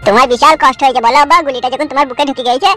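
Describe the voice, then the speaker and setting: A woman's voice: high voice, fairly even intonation, very fast, sharp tone, soft background music. Woman voicing a fiery young village son. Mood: tender. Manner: fierce, swearing, vows revenge. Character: hot-headed young village man, vengeful son.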